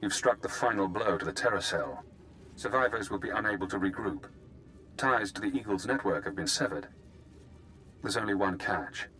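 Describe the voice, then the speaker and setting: An actor playing a coolly persuasive, calculating escaped prisoner in a video game, speaking in a measured, formal way. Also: male voice, on the low side, bright, forceful tone, rough recording. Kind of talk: video game dramatic acting.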